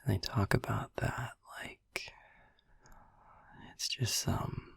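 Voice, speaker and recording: A man playing a soothing vampire boyfriend in an ASMR sleep-aid roleplay, musing gently in a hushed, breathy whisper close to the microphone. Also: on the low side, wildly swooping pitch, no room sound, exaggerated voice. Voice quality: breathy whisper with gravelly creak. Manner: slow, hushed, gently musing with long pauses. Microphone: close microphone, dry.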